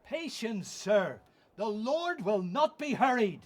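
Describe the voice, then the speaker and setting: Male actor as patient temple official, declamatory, extremely high, slow delivery, radio drama. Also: big pitch swings, exaggerated voice. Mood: solemn, proclaiming.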